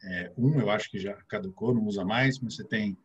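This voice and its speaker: A man's voice: fairly low pitch, fairly quick, slightly rough. A middle-aged man with a São Paulo interior Brazilian accent, a guest financial advisor explaining things step by step, with many filler sounds. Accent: São Paulo interior Brazilian Portuguese. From interior of São Paulo state, Brazil.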